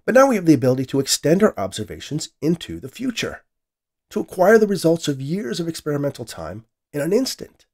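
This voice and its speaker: A man voicing an ambitious, excitable male scientist with an eager, grandiose manner in a science fiction story. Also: mid-range voice, a little fast, voice pushed up. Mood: excited, anxious.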